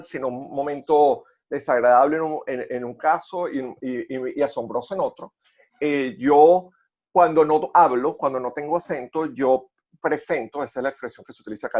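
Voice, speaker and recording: A man with a Venezuelan accent telling personal stories conversationally, haltingly repeating words as he searches for phrasing. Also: mid-range voice, darkish tone. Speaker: podcast co-host.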